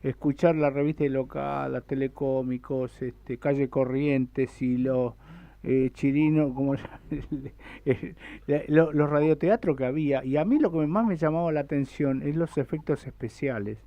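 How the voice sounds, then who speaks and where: A man's voice: medium pitch, a little slow, vocal fry, dull audio. An Argentine man in his seventies chatting casually as a radio interviewer, rambling and hesitant in the way he talks. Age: 70s. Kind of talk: casual, reminiscing radio chat.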